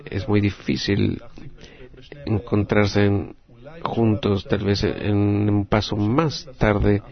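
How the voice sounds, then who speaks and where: Man, Latin American Spanish accent, lowish creaky voice, halting live interpreter, phrase by phrase. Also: flat delivery, dull audio. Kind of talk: live spoken interpretation of a group discussion.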